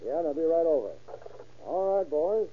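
An actor playing a businesslike police desk officer in a 1930s radio drama, terse and matter-of-fact. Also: male voice, on the high side, animated, moderate pace, breathy edge. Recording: tinny, narrow old radio recording.

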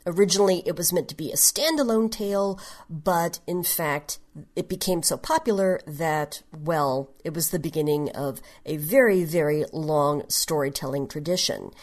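A woman presenting a prepared podcast talk on history in a measured, explanatory manner. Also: deep voice, a little mellow. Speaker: genre history segment presenter.

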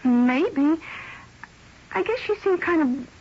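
A woman's voice: high-pitched, lively intonation, slow, slight background noise, full character voice. An actress playing a guileless young woman who talks in a casual, hesitant, girlish way in an old-time radio drama. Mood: uneasy, earnest. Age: young adult. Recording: dull, tinny old radio recording.

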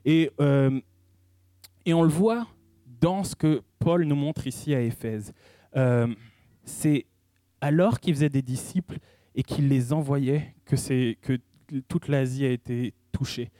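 A man giving a sermon in a conversational style, pausing often and restarting his sentences as he speaks. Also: medium pitch, warm tone, no room sound, studio quality. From French-speaking. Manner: conversational preaching with frequent hesitations and restarts. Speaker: preacher.

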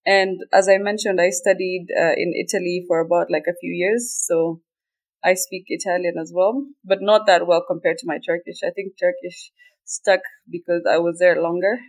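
A woman's voice: fairly low pitch, moderate pace, poor audio. Twenties woman from Kenya, easygoing interview guest with frequent laughter. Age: 20s. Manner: relaxed, laughs often. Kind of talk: casual interview.

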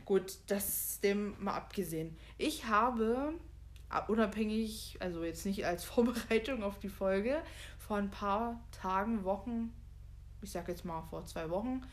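A woman's voice: mid-range voice, measured pace. A woman co-hosting a podcast, chatting casually and thinking aloud with loose asides. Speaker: podcast co-host. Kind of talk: casual chat, discussing a book and film.

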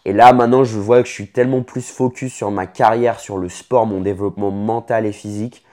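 Casual young Frenchman with a lowish voice. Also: fairly even intonation, fairly quick, darkish tone. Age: late 20s. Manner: informal, franglais slang mixed in. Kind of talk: casual chat about career and personal life.

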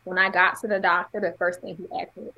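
A woman guest on a podcast with a stutter, telling her story in a candid, animated way and laughing often. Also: on the low side, fast, lo-fi sound. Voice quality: has a stutter. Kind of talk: casual conversational interview.

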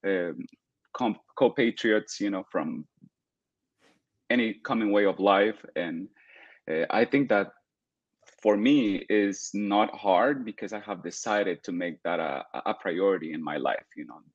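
A young man speaks in a very low register, choosing his words with care and leaning on "uh" and "you know" as he thinks. The sound is dry and a little muffled, with no room around it. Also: no room sound. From El Salvador. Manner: earnest and deliberate, many "uh" and "you know" fillers. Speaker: guest, political activist. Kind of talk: podcast interview.

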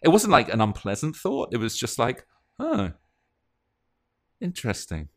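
A man's voice: mid-range voice, big pitch swings, brisk, fairly bright tone. A man, seemingly in middle age, talking casually as a podcast co-host. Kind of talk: casual chat.

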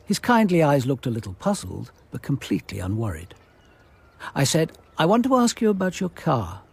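An actor playing a measured, observant police detective narrating his investigation in a radio drama. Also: a little high, wildly swooping pitch, vocal fry, breathy edge, exaggerated voice. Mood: calm, observant.

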